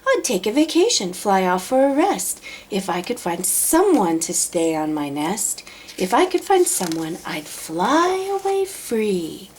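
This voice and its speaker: A woman's voice: medium pitch, sing-song, unhurried, a little hiss or hum. A woman voicing a lazy female bird in a children's storybook, whiny and sweetly wheedling. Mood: whiny complaining. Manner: complaining, pleading, sweetly coaxing. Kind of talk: children's storybook read-aloud.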